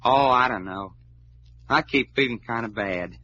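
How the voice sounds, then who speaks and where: An actor playing a folksy, hot-blooded adventurer with a big appetite and a Southern drawl, in old-time radio drama style. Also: male voice, mid-range voice, a little slow, bright, forceful tone. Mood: wistful. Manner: folksy drawl full of colorful slang. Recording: muffled, hissy old radio recording.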